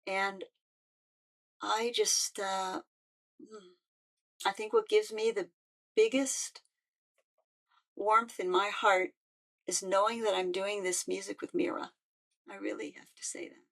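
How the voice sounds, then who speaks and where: An older woman, a musician speaking in a halting, heartfelt way with frequent restarts. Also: medium pitch, animated, a bit bright, low-quality recording. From United States. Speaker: musician and peace activist. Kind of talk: personal reflective talk.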